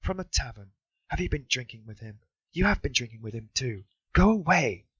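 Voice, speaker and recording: A man's voice: medium pitch, expressive, a little fast, a bit bright, breathy edge, dry recording, pitched above the performer's own voice. A man voicing a frantic, impoverished mother who shrieks desperate accusations. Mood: despairing. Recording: dull, dry recording.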